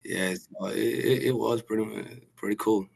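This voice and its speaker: A young man from Sudan, a college basketball player, answering interview questions softly, with halting restarts and fillers. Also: lowish voice, steady tone, creaky, husky. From South Sudan. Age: young adult. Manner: soft-spoken, halting, with many restarts and fillers.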